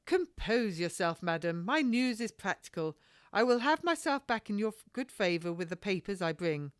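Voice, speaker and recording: A woman's voice: medium pitch, big pitch swings, moderate pace, clear, resonant tone, dry recording, studio quality, voice pushed up, lightly acted. A woman voicing a cocky, evasive male captain from a period storybook, with flowery formal speech. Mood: pompous, cocky.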